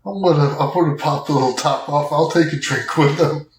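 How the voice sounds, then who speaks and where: A man's voice: a little high, fairly quick, a little hiss or hum. A man playing in a horror game podcast, improvising casual role-play, recorded in a reverberant room.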